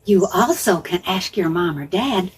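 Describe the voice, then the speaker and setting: A woman's voice: fairly low pitch, lively intonation, measured pace. A woman telling Bible stories to young children in simple phrases, repeating words for emphasis and putting questions to listeners. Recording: faint background noise.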